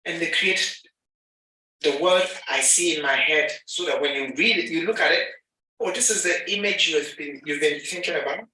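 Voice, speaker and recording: Ghanaian man giving a lively talk, very bright tone, booming hall, mic set back. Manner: animated, acts out dialogue. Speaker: speaker. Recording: echoey hall, mic at a distance.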